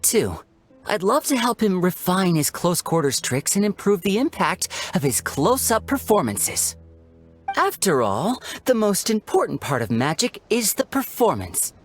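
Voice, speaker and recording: Lively, theatrical young magician. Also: female voice, medium pitch. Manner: theatrical, teasing, showy. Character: playful young stage magician, theatrical and charming. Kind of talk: scripted video game character dialogue. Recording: music behind the voice.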